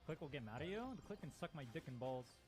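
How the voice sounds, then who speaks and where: A man's voice: a little high, lively intonation, fast talker, darkish tone, faint music behind. A man aggressively trash-talking and swearing in a played clip. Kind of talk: angry rant clip.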